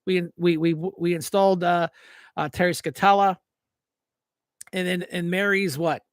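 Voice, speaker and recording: Man, American accent, high voice, dry close sound, blunt, folksy, opinionated, repeats himself for emphasis. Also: fairly even intonation, unhurried, no room sound. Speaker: talk show host and local political candidate. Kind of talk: opinionated political rant, informal and off-the-cuff.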